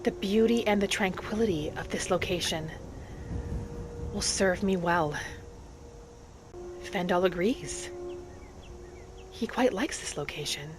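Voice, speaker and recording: Soft, dreamy woman as a wood elf wanderer, music behind. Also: mid-range voice, animated. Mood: tender, content. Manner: soft, unhurried, dreamy, earnest. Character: gentle, hopeful young wood elf woman adventurer. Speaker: roleplay series host. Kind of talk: in-character scripted narration, storytelling.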